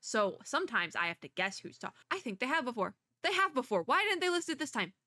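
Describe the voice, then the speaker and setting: A woman's voice: high voice, big pitch swings, quick pace, pushed and bright, no echo, clean studio sound. A woman streamer giving a casual, chatty reaction in a bubbly, rambling, self-deprecating way. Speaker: streamer reacting to a game trailer.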